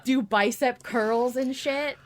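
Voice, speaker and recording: A woman's voice: on the high side, steady tone, takes it slowly, a loud crowd. A woman hosting a casual fan discussion podcast, talking informally and swearing freely. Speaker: podcast host.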